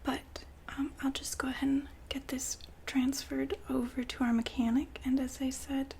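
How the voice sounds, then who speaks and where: A woman whispering softly and politely in an ASMR customer-service roleplay, breathy and gently hesitant. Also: sits high, little pitch movement, slight background noise. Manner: soft-spoken, polite, unhurried, gently hesitant. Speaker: ASMR roleplay performer as a shop consultant.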